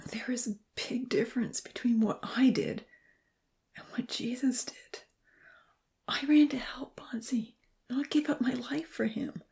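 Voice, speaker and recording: Woman playing anguished young man, raised pitch, breathy choked delivery, halting, pleading, guilt-ridden, audiobook drama. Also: darkish tone, whispered. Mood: anguished whisper. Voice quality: breathy, choked voice breaking into sobs. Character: anguished young male burn survivor, guilt-ridden and humble.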